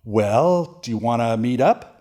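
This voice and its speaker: A woman acts out an easygoing friend having a casual phone chat in a lesson dialogue. The delivery is unhurried and friendly, with a mellow tone that swings up and down. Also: a bass voice, lively intonation.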